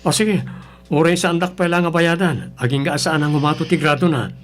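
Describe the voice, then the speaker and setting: A man's voice: on the high side, fairly quick, lightly acted. An actor playing an earnest, apologetic man in his thirties, a dutiful son, with a pleading, hesitant manner in an Ilocano radio drama. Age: 30s. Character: earnest, apologetic 35-year-old aspiring teacher, dutiful son.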